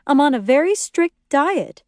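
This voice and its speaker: A woman voicing an irritable young mother in an audiobook, sharp, exasperated and scolding. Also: high voice, sing-song, unhurried, dry recording, clean studio sound, voice pushed up. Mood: curt, defensive. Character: irritable, snapping young mother, strict and easily outraged. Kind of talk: audiobook character dialogue.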